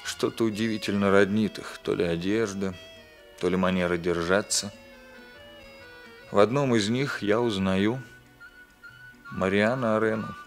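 Deep-voiced, principled police captain. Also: male voice, fairly even intonation, a little slow, pauses often, faint music behind. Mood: brooding. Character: proud, stubborn, principled police captain narrating his own story. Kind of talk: 1960s Soviet radio drama acting and narration.

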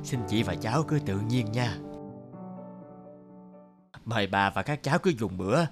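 A man's voice: lowish voice, expressive, unhurried, over music. A man voicing a courteous, kindly middle-aged company director in a cartoon. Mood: welcoming. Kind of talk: animated cartoon dubbing.

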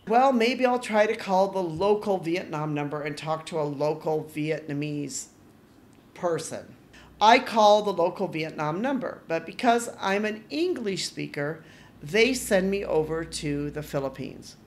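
A woman's voice: fairly low pitch, unhurried. Woman podcast host telling a story. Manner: animated, exasperated retelling with quoted dialogue. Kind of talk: casual solo podcast storytelling.

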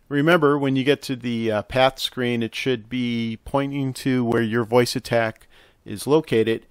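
A man narrating a casual software tutorial, explaining step by step in an instructional way with hesitant pauses. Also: mid-range voice, creaky, no echo. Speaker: tutorial host. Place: close, dry indoor voice-over for a screen recording.

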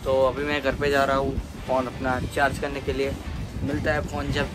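A man's voice: mid-range voice, monotone, normal speed, over music. A young man, casually narrating a vlog to his viewers. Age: young adult. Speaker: vlogger. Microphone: phone at arm's length.

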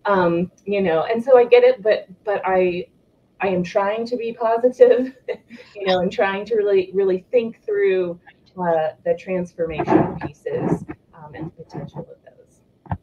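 A woman's voice: mid-range voice, measured pace. A woman panelist talking thoughtfully and a little hesitantly over a video call. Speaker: college faculty panelist. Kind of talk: panel discussion. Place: home room, close to computer on video call. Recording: slightly dull video-call audio.

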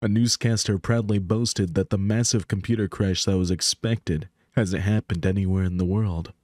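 A man's voice: deep voice, steady tone, moderate pace, a little mellow, dry recording, clean studio sound. A man reading scripted story narration for a visual novel. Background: background music.

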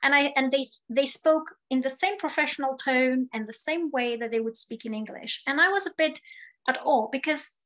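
A woman's voice: sits high, moderate pace, fairly bright tone. A woman from Greece who helps run a reading group, talking fluently and with enthusiasm in a casual discussion.

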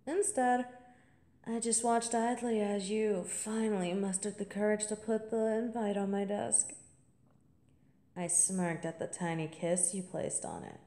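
A woman playing a confident, playful college senior in an intimate, seductive audio roleplay monologue, teasing and at times breathy. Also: mid-range voice, measured pace, warm tone, roomy sound, lightly acted. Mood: teasing, flirty.

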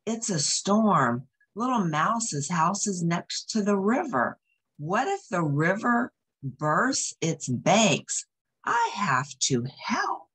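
Woman voicing a lively, earnest big storybook bear. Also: mid-range voice, takes it slowly, breathy edge, pitched above the performer's own voice, full character voice. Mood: worried, urgent. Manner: earnest, reassuring, emphatic. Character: big gentle bear, worried, brave, protective friend. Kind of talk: animated picture-book read-aloud.

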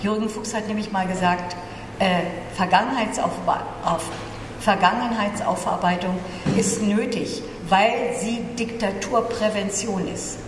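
An older woman from East Germany speaking haltingly and earnestly as a panelist introducing herself. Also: mid-range voice, steady tone. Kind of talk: self-introduction at a panel discussion. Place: large conference room indoors. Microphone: table gooseneck microphone. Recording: echoey hall with clear background noise.

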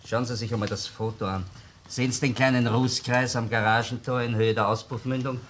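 A man's voice: mid-range voice, steady tone, a little fast, a bit bright, slight background noise, lightly acted. A man playing a sardonic, relentless police interrogator in a radio crime drama, with a Viennese accent and taunting, repetitive questions. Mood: accusing. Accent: Austrian, Viennese. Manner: taunting rhetorical questions, repeats words, tag questions. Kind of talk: German-language radio crime drama acting.

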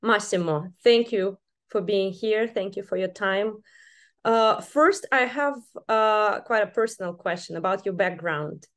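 A young woman hosting an interview over a video call, reading her introduction in a measured way and asking questions. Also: medium pitch, a bit bright. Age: young adult. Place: indoor room with bookshelves. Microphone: video call laptop mic.

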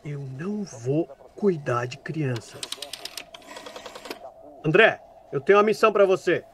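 A man acting in an audio drama as a gruff, authoritative police sergeant with a curt, commanding manner. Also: fairly high pitch, animated, unhurried, a crowd in the background. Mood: impatient, commanding.

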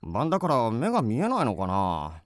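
Man acting a naive, expressive village hunter. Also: mid-range voice, moderate pace, dry recording. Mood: curious. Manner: naive, wondering. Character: mild, easily puzzled village hunter, a man. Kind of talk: radio drama acting.

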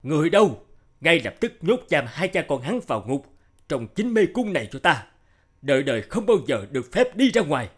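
A man's voice: on the high side, big pitch swings, fairly quick, breathy edge. A man playing a pompous, hot-tempered middle-aged king who speaks in a commanding manner in a cartoon dub. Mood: furious.

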